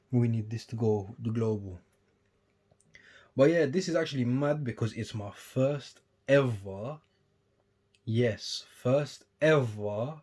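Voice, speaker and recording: Chatty young man, low voice. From United Kingdom. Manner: chatty, rambling, trails off quietly between bursts. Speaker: sneaker YouTuber. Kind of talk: casual unboxing vlog.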